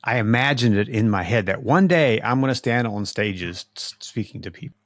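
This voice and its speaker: A middle-aged man hosting a podcast, speaking slowly and deliberately in a casual conversation. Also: fairly low pitch, lively intonation, no room sound, studio quality. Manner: slow, deliberate speech, says he talks slowly to avoid stammering. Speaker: podcast host.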